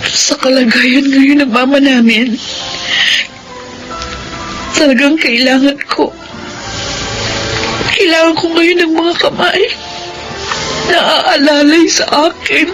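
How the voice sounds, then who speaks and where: A high-pitched, emotional Filipino woman's voice plays a burdened eldest sister in a radio drama. She pleads with feeling and a sense of grief, over clearly audible background music. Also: steady tone, low-quality recording. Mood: anguished, weary. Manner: slow, pleading, emotional delivery. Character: grieving, burdened but forgiving eldest sister.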